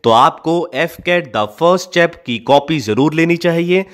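A man's voice: fairly high pitch, slow pace, fairly bright tone. A man with an Indian accent reads a scripted explainer as a video narrator, slipping between Hindi and English phrases. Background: background music.

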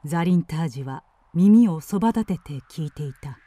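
A woman's voice: deep voice, animated, moderate pace, mellow, no echo. A woman reading scripted narration for a radio drama.

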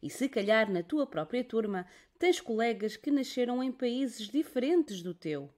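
A woman's voice: on the high side, animated, darkish tone. A woman from Portugal with a European Portuguese accent, patiently narrating a children's lesson. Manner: slow, patient, explaining to children. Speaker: teacher narrator.

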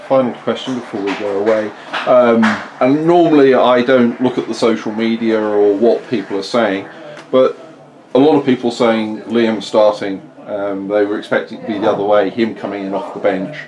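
A man's voice: on the low side, steady tone, moderate pace, a crowd in the background, low-quality recording. A man interviewing a football manager after a match, asking casual, hesitant questions. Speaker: club media interviewer.

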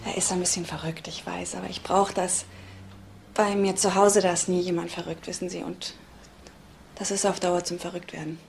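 A woman's voice: on the low side, level tone, normal speed, gravelly, a little hiss or hum. An actress playing a defensive, earnest woman being questioned, speaking emphatically in a German crime radio drama.

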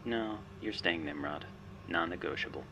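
A man's voice: deep voice, normal speed, creaky, background noise. A man playing a smug, menacing but playful artificial intelligence guiding a spy in an ASMR roleplay, wry, teasing and theatrical. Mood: smug, insistent. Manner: wry, teasing, theatrical, often dropping to a whisper.

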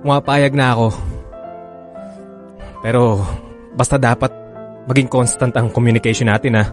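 A man's voice: medium pitch, steady tone, moderate pace, soft background music, lightly acted. A man playing a possessive, reluctant lover in a radio drama, hesitant and sulky. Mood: reluctant, anxious.

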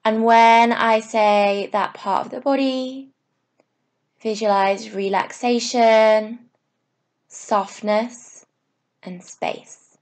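A woman yoga teacher leading a guided meditation, giving soothing, unhurried instructions in short phrases with pauses. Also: a little high, steady tone, darkish tone.

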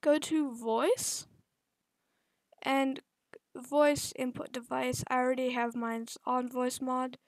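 Slow high-voiced young woman explaining software. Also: flat delivery, no room sound. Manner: halting, many fillers and restarts. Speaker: gaming channel host. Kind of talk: casual screen-recorded software tutorial.

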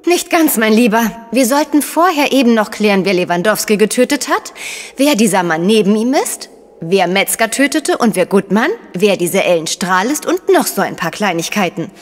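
An actress playing a sharp, sardonic female investigator, questioning and pointed, in a crime radio play. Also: mid-range voice, lively intonation, normal speed, in a large hall, natural voice.

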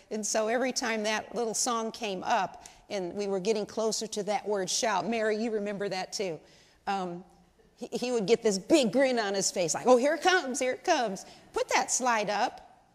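A woman's voice: on the high side, moderate pace. Older woman speaking in a church. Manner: chatty, folksy, asks the audience questions. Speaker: lay speaker at women's service. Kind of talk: informal church talk to a congregation. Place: large church sanctuary. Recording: long hall reverb in sanctuary.

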